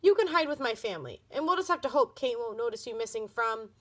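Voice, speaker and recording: A woman voicing a bold, practical young girl doll in a storybook reading, brisk and urgent. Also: on the high side, lively intonation. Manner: brisk, urgent, loud whispers.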